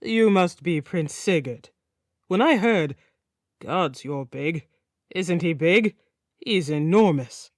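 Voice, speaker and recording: A woman voicing a genial, fastidious, teasing king with a Nordic accent and a casually menacing streak, in an audiobook saga. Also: on the low side, expressive, dry recording, clean studio sound. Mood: effusive.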